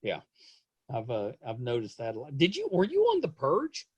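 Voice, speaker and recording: A man's voice: mid-range voice, huge pitch swings, moderate pace, husky, dry recording. Enthusiastic, rambling man hosting a podcast. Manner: enthusiastic, rambling, repeats himself. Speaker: podcast host. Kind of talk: casual interview chat.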